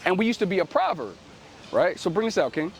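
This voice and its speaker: A man's voice: high voice, big pitch swings, quick pace, gravelly, a little hiss or hum, rough recording. A man preaching outdoors in street teaching style, ending nearly every sentence with "right?" and telling a helper to read. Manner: commanding, calls on the reader to read. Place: outdoors in a city.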